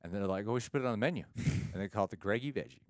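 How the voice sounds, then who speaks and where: A man hosting a casual chat, relaxed, reading viewer messages and laughing often. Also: on the low side, rapid-fire, no echo, studio quality. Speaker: host.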